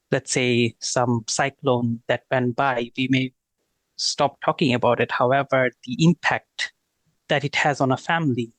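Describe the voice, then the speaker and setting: A man's voice: medium pitch, no echo, poor audio. A man in his thirties from Bangladesh, a guest talking casually in an interview, with many fillers and restarts. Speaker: guest, renewable energy consultant.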